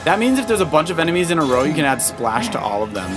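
A man's voice: a little high, big pitch swings, quick pace. A man chatting casually as a dad co-hosting a video game playthrough, giving encouraging tips and suggestions. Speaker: dad co-hosting a let's play with his child. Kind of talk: casual gameplay chat and coaching. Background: loud video game music.

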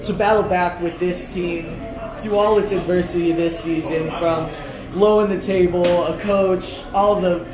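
A man's voice: high voice, fairly even intonation, measured pace. A man interviewing a coach after a match, asking long, wandering questions with fillers. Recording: echoey hallway, crowd noise behind.

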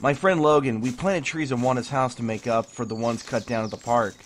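A man's voice: mid-range voice, fairly quick, lightly acted. A man playing a wry, evasive skeptic in an audio drama, dry and offhand. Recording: faint outdoor nature noise. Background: birds, outdoor ambience.

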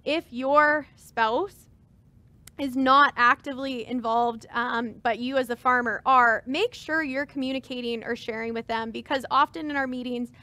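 A woman's voice: high voice, steady tone, normal speed, bright, forceful tone. A woman in her thirties on a webinar panel, giving earnest advice about planning with many fillers. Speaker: farm transition advisor. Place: stage with dark curtains.

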